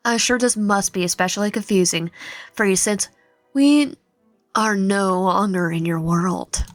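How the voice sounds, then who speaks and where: A woman's voice: medium pitch, unhurried, no room sound, lightly acted. A woman voicing a courteous, earnest young prince in a visual novel, polite in manner. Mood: polite, apologetic. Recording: music behind the voice.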